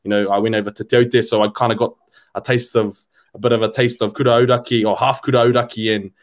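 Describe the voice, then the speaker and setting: A man's voice: on the low side, flat delivery, quick pace. A young New Zealand man, a panel guest on a video call, talking earnestly and rambling a bit. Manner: earnest, rambling, many restarts. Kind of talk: casual discussion, mixing Māori and English. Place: home room, video call.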